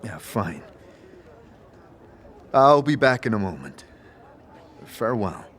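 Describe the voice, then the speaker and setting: An actor playing a laconic, dry-humoured and somewhat hesitant wanderer in an audio drama. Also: male voice, lowish voice, big pitch swings, slow, a bit bright, heavily acted. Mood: curious, hesitant. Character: weary, dry-humoured male wanderer, curious and polite. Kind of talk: scripted audio drama acting.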